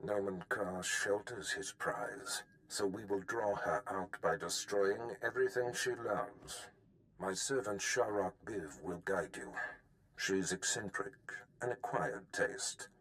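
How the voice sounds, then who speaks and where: Deep-voiced, commanding Sith master. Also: male voice, a bit bright, poor audio. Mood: cold command. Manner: stern, measured, imperious. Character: commanding, menacing male Sith lord and master. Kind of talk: scripted video game acting.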